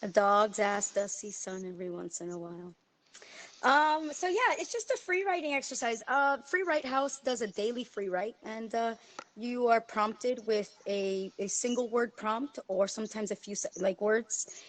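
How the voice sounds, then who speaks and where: A woman's voice: on the high side, fairly even intonation, moderate pace, slight background noise. A woman, a guest chatting casually over her phone, talkative and self-deprecating with many fillers. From Miami, USA. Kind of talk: casual chat.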